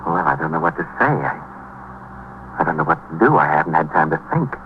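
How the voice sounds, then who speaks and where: A man's voice: a bass voice, sing-song, a little fast. A male actor playing a shaken, evasive middle-aged American businessman under police questioning in a 1950s radio drama, answering politely and hesitantly. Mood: anguished, pleading. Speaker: murder suspect in a radio drama. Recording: muffled, faintly hissy 1950s radio recording.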